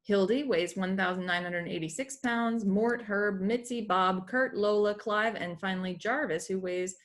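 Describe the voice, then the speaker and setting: Woman, teacher, mid-pitched voice, educational read-aloud, explanatory, informal asides. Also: normal speed. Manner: explanatory, acts out dialogue, breaks off for asides. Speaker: teacher reading a math comic aloud.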